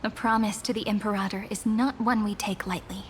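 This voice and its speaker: A woman's voice: medium pitch, steady tone, brisk, a little hiss or hum, lightly acted. A woman playing a gracious, polite chronicler in an anime game. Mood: gracious, earnest. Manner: poised, polite. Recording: loud background music.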